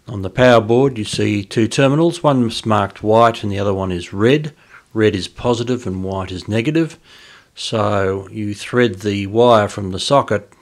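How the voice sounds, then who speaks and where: Man, fifties, fairly deep pitch, informal tutorial, pauses mid-phrase. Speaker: host of an amateur radio hobby video. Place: small indoor radio room at home.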